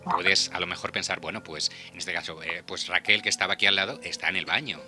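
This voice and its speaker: A man with a deep voice and a very bright, forceful edge hosts a true-crime radio talk. He speaks conversationally with an accent from Spain, over background music. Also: fairly even intonation, quick pace. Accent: Spain Spanish. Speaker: radio show host. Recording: music under the voice.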